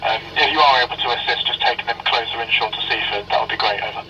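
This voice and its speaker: A man's voice: medium pitch, very fast, background noise. A man working as a coastguard radio operator, calling a yacht with formal radio procedure and some hesitations. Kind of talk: marine radio call. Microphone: heard over a boat radio. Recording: muffled marine VHF radio from a distance.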